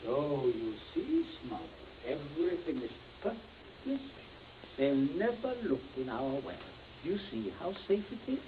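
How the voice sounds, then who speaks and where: Brief: actor as genial, menacing patriarch, lively highish voice, thin old radio sound. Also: male voice, moderate pace. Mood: falsely genial. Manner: suave, commanding, breezy menace. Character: cold, genial middle-aged father with a gun, menacing. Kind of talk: 1940s radio acting. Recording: tinny lo-fi archive radio with faint hiss.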